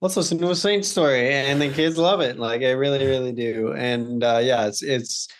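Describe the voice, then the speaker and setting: A man's voice: medium pitch, normal speed, slight background noise. A man talking casually as a podcast co-host, earnest and informal, often repeating words as he goes. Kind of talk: casual conversational talk.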